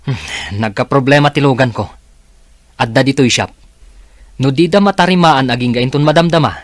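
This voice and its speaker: A man's voice: medium pitch, normal speed, natural voice. A man playing a reluctant, evasive young husband in an Ilocano radio drama, with a Filipino accent.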